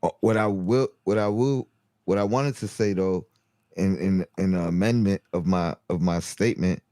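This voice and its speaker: A man's voice: sits low, normal speed, mellow, dry recording, clean studio sound. A man giving casual sports analysis, stumbling and restarting often and repeating "you know what I mean".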